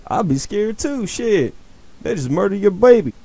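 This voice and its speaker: A man's voice: sits high, big pitch swings, normal speed, dark tone, faint music behind. A man performing comic voice-over lines for funny clips as a comedian.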